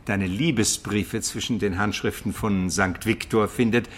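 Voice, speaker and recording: A male actor as an urbane medieval gentleman with a fairly low voice. He speaks in a measured, ironic way with dry disapproval, as in a radio play. Clear noise sits behind him. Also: fairly even intonation, close to an everyday voice. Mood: wry reproach. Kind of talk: German radio play dialogue.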